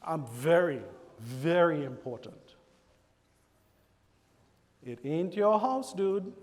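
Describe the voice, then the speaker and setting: High-voiced Trinidadian preacher in a hall. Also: male voice, takes it slowly. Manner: animated, acts out church members in quoted voices. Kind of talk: sermon. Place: church sanctuary.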